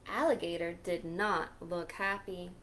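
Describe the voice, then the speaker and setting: A woman hosting a children's storytime, reading a picture book aloud in a deliberate, expressive way. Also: on the low side. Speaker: children's librarian storytime host.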